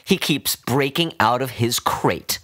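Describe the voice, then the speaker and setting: A man voicing an unhinged, doting woman who fusses over her pet in a high, breathy, wavering voice. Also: expressive, slow pace, a bit bright, dry recording, studio quality. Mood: cooing.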